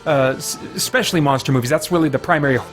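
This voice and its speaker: A man's voice: on the high side, racing, soft background music. A man hosting a video game livestream with casual, rambling commentary, breaking off mid-thought to react to the game and chat.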